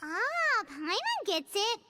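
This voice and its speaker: A woman playing a tiny, excitable floating fairy companion with a squeaky voice in video game dialogue. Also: slow, a little hiss or hum, full character voice. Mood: eager.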